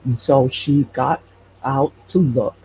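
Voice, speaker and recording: A man with a mid-pitched, very creaky voice tells stories as a casual interview guest. He speaks haltingly and often searches for the right word. The recording is dull and lo-fi, with faint noise behind him. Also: a little mellow. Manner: halting, hesitant, searching for words. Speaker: podcast guest telling local legends.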